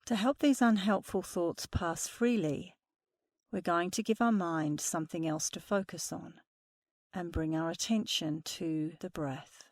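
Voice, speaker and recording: A woman meditation instructor speaking softly and soothingly through a guided meditation. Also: fairly low pitch, no echo. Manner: slow, soothing, soft-spoken guidance.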